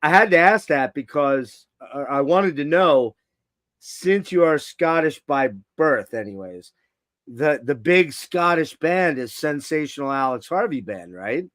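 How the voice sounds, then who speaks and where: A middle-aged man hosting an interview on a video call, enthusiastic and quick to agree. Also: on the high side, lively intonation, a bit bright, dry recording, studio quality. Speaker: podcast host. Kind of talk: casual interview chat.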